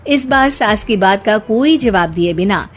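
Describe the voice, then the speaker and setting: A woman storyteller narrates a cartoon family tale with animated, swinging intonation. Her voice sits at a medium pitch, with soft music behind it. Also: measured pace.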